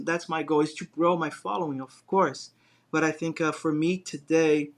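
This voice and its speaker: A man from Brazil with a Brazilian Portuguese accent, a guest talking casually and rambling with many fillers and restarts. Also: a little high. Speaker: guest, design business owner. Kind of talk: casual interview chat.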